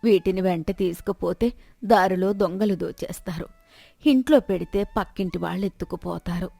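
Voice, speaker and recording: A woman's voice: lowish voice, moderate pace, creaky, mellow, dry recording. Woman acting a shrewd old village woman. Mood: pleading, plaintive. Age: older. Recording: background music bed.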